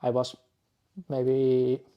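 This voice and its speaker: A man in his forties from Finland, with Finnish and Dutch as his first languages, talking as a podcast guest. His voice sits at an ordinary middle pitch. He speaks in a thoughtful, halting way and often restarts his sentences. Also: monotone, dull audio. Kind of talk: podcast interview.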